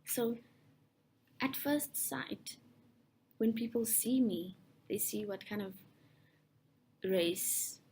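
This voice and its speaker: A young woman from South Africa whose first language is Afrikaans, answering interview questions in a thoughtful, soft and breathy way with frequent restarts. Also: a little high, drawn-out words, pauses often, slight background noise.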